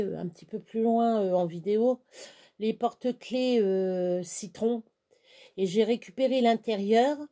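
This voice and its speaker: A woman's voice: a little high, dark tone. An older woman from central France, chatting casually to her followers with many hesitations and restarts while she works on crafts. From Touraine, France. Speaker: craft vlogger. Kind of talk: rambling casual chat.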